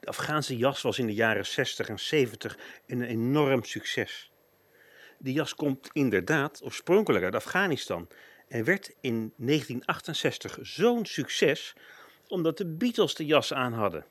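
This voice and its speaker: A man narrating a script for local radio. His voice is husky and mid-pitched with lively intonation, captured in a dry recording. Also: no echo.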